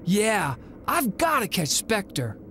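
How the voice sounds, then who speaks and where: A woman's voice: mid-range voice, very animated, takes it slowly, fairly bright tone, a little hiss or hum, exaggerated voice. A woman voicing a plucky, determined boy hero in a video game, earnest and emphatic. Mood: resolute, gentle. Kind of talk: cartoonish video game voice acting.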